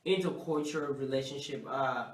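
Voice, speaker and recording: A man's voice: mid-range voice, moderate pace. A man debating casually on a panel, insistently repeating phrases to hold the floor. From grew up half in Africa, half in the United States. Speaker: talk show panelist. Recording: echoey room, distant microphone.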